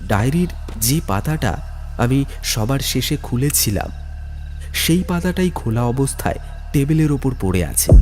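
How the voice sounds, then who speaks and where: A man's voice: mid-range voice, darkish tone. A man narrating a scripted ghost story in the first person, telling it in a dramatic, suspenseful way. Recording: music bed under the voice.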